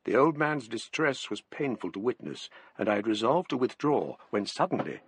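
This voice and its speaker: An actor with an English accent playing a polite, bookish Cambridge man, speaking in a measured, literary way in a radio drama. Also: mid-range voice, sing-song, slightly rough. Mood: grave narration. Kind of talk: radio drama narration and dialogue.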